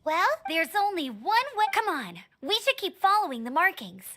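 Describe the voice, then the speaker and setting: A woman voicing a small, excitable, childlike fairy companion in a video game, chirpy, curious and full of exclamations. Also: extremely high pitch, moderate pace, background noise. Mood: eager, inquisitive. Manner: refers to self in third person, exclaims. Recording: music behind the voice.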